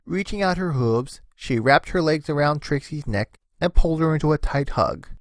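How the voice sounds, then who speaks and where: A man's voice: on the high side, expressive, normal speed, dry recording, studio quality. A man narrating a scripted story expressively, as the narrator of a fan fiction reading.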